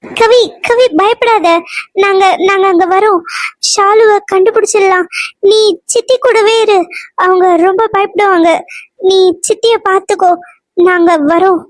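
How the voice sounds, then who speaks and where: Woman as an earnest young wife in an audio drama, very high voice, dry close sound. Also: fairly even intonation, measured pace, no echo. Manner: insistent, earnest. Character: anxious young married woman, quick to take charge.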